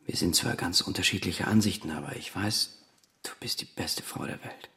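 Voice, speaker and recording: An actor playing a gentle, forgiving brother in a German radio drama, speaking with quiet earnestness in a gravelly voice. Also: male voice, low-pitched, moderate pace, exaggerated voice. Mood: gentle insistence. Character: gentle, forgiving brother urging his sister.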